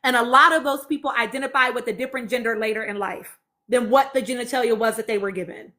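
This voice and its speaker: A woman with a high, bright and forceful voice hosts an informal live stream. She speaks with emphasis and conviction, repeating key phrases as she urges her listeners along. Her delivery is conversational and impassioned. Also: quick pace. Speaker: live-stream host reading and answering audience comments.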